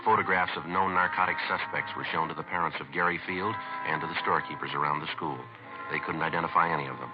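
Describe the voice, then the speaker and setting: An actor playing a terse, matter-of-fact police detective in a 1950s radio crime drama, on a muffled vintage recording. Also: male voice, rumbling low, quick pace, over loud music. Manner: clipped, matter-of-fact, deadpan. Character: calm, terse male police detective narrating his case.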